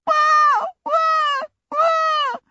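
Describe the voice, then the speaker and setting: Shrieking tantrum-throwing little princess. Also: female voice, fairly even intonation, very slow, pushed and bright, dry recording, dull audio, heavily acted. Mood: howling tantrum. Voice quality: shrill, screaming. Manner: wailing, demanding, childish.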